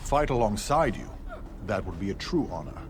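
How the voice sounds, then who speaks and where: A man's voice: lowish voice, big pitch swings, moderate pace, noisy background. A man voicing a resolute, honorable guild commander in a video game, firm and formal. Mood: firm resolve.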